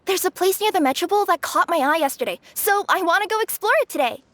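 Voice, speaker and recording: A woman voicing a cheerful, youthful cat-spirit courier in an animated game, chatty and friendly. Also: very high voice, fast talker, no echo. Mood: upbeat. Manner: casual, eager, chatty. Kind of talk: animated game dub acting.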